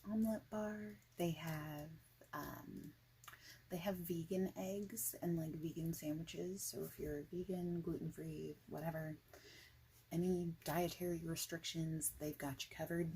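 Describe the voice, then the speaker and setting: Soft, low, creaky-voiced woman, half whispering. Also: fairly even intonation, a little hiss or hum, poor audio. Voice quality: soft, breathy, often dropping to a near whisper. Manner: casual, hesitant, rambling with many pauses and "like". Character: friendly informal female office boss. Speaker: ASMR roleplay creator playing a boss. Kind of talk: soft-spoken ASMR roleplay, informal job interview chat.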